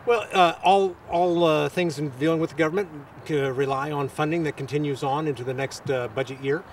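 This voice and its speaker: A man answering press interview questions as a local official, with halting, hesitant phrasing. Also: a little high, fairly even intonation, far from the mic, a little hiss or hum.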